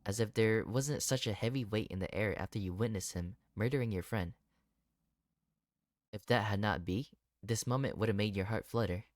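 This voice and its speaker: A man hosting a gaming video, reading visual novel narration aloud and reacting to it expressively. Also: on the low side.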